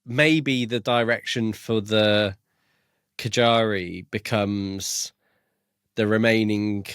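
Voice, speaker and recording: Male podcast co-host, low voice, dry close sound, casual book chat with self-deprecating, hesitant phrasing. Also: a bit bright, clear, resonant tone, no room sound.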